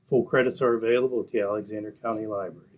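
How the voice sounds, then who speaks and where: A man's voice: lowish voice, fairly even intonation, rapid-fire, noisy background. A man reading scripted announcements as an old-time radio announcer.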